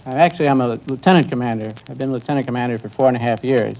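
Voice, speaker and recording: A man answering a quiz host's questions as a contestant, polite, measured and a little hesitant. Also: mid-range voice, darkish tone, low-quality recording. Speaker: contestant, naval officer. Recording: old broadcast, faint background noise.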